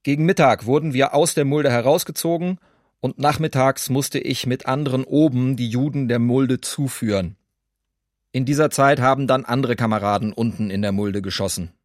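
Actor doing a german ex-shooter testifying, matter of fact, mid pitch, very dry radio drama sound. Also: male voice, normal speed, dry recording, lightly acted. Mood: sober, matter-of-fact. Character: German perpetrator of a wartime shooting, self-pitying, matter-of-fact.

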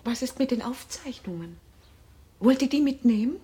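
A woman's voice: high-pitched, lively intonation, moderate pace, mellow. An actress in a radio drama playing a concerned, protective woman who mediates a family quarrel matter-of-factly.

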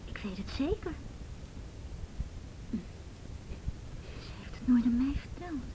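Distressed schoolgirl, breathy and hushed, fairly high, timid yet insistent, radio drama. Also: very animated, slow pace, full character voice, low-quality recording. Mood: shocked, timid. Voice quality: breathy, whispery. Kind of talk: Dutch radio drama acting.

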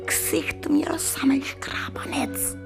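Hushed, rattled servant woman. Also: high-pitched, wildly swooping pitch, vocal fry, whispered, over music, heavily acted. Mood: frightened, hushed. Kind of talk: radio drama acting.

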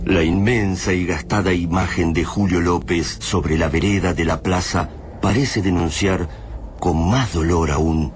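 A man with an Argentine accent playing a grave narrator of an alien invasion radio drama, speaking in a solemn, deliberate, ominous way. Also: deep voice, fairly even intonation, slight background noise.

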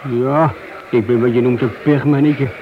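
An actor playing a wheedling, hesitant petty crook and police informer in a radio play. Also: male voice, medium pitch, steady tone, normal speed, lightly acted. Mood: evasive, wheedling. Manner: hesitant, evasive, servile to his handler. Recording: dull, noisy old radio drama recording.

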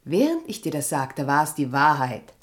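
A woman's voice: sits low, big pitch swings, normal speed. An actress playing a proud, capricious young woman in a radio play, dramatic and cutting toward her lover. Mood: subdued.

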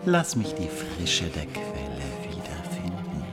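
An actor playing a learned, sly, greedy monk in a radio drama, lecturing and argumentative. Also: male voice, low-pitched, huge pitch swings, takes it slowly, loud music behind the voice, full character voice. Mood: solemn.

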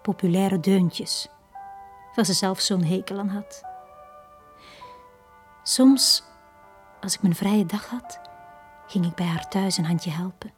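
A Flemish actress playing a lonely, downtrodden young bar girl in a radio drama, speaking in a hushed, halting, confiding way. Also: medium pitch, faint music behind, lightly acted. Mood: wistful, tender. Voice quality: breathy, hushed.

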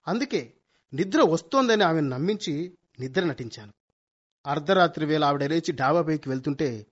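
A cocky young household detective in a radio comedy. His voice sits high, and he declaims grandly like a lawyer in court, dropping in English phrases. The recording is dull and lo-fi. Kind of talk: Telugu radio comedy play acting.

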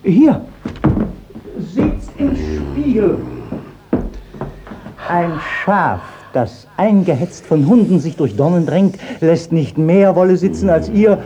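An actor playing a sly, mocking court clerk with pointed theatrical delivery in a 1950s German radio play. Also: male voice, sits high, very animated, takes it slowly, dark tone, heavily acted. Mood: needling relish. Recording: dull, noisy 1950s radio recording.

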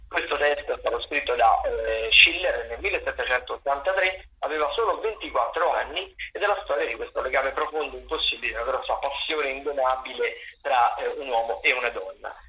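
A man presenting theatre listings as a radio contributor, improvising and pausing to search for words. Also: mid-range voice. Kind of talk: semi-improvised spoken review of listings. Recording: narrow tinny phone line, noisy.

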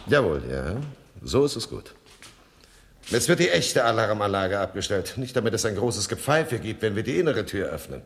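Smooth-talking, lively gentleman crook. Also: fairly low pitch, normal speed, exaggerated voice. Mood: smug, commanding. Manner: polite, mocking, eloquent. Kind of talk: 1970s German radio crime comedy acting.

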